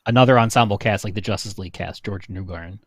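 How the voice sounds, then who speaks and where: A young adult man chatting casually as a livestream panelist, slangy and quick to chuckle. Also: lowish voice, dry recording, clean studio sound. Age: young adult to 30s. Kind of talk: casual chat.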